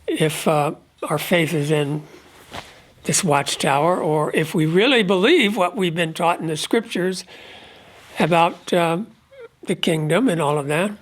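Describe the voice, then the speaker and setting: A man with a head cold and a stuffed-up voice, giving an unscripted religious commentary at a measured, halting pace, pausing often. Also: a little high. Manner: measured, halting delivery with frequent pauses. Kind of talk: unscripted monologue on prophecy.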